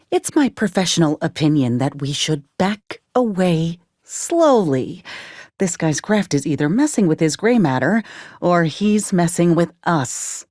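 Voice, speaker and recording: A woman's voice: on the low side, darkish tone, no room sound. A woman playing a wry, plainspoken former combat medic in a video game, loyal to her company, with dry, sardonic, folksy phrasing. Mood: concerned.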